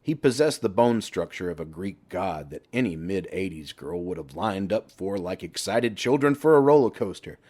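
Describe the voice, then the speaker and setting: A man's voice: low-pitched, animated, moderate pace, hardly any pauses, a little mellow. A man reading a horror novel aloud as scripted audiobook narration.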